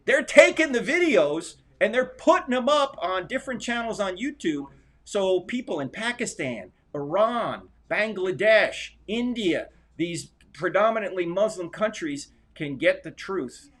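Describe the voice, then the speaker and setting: A man speaks with emphatic energy as a livestream guest, his voice a little higher than most men's. He presses his points and urges the listeners on. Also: lively intonation, measured pace, fairly bright tone. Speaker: guest apologist. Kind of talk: casual livestream chat.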